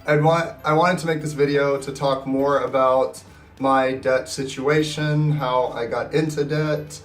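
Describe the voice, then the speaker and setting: A young man in his twenties talks casually to camera, his voice nasal and scratchy from being sick. He sounds upbeat and rambles a little, with restarts. The mic sits some distance away in an echoey room, with faint guitar music underneath. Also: medium pitch. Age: 20s. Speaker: personal finance vlogger. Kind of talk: casual talking-to-camera vlog.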